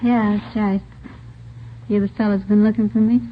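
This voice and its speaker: An actress playing a breezy, streetwise nightclub performer in a vintage radio drama, talking in casual slang. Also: female voice, medium pitch, little pitch movement, moderate pace, natural voice. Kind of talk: 1940s-50s radio drama acting. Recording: muffled noisy old radio recording.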